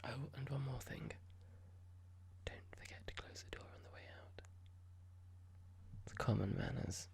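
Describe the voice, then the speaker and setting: Someone acting as a smug cold office boss, asmr whisper, super creaky, slow n condescending. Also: mid-range voice, darkish tone. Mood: dismissive, condescending. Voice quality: breathy whisper with creaky fry. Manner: slow, deliberate, condescending, mock-polite. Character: cold, smug, passive-aggressive corporate boss.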